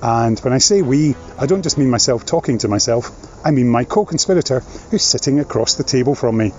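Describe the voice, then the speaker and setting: A man hosting a podcast, playful and fond of wordplay, a bit gravelly from a cold. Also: mid-range voice, expressive, brisk, darkish tone, dull audio, faint music behind. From Scotland. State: slight cold, more gravelly than normal. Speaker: podcast host. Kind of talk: podcast chat and interview. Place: at a table across from his co-host.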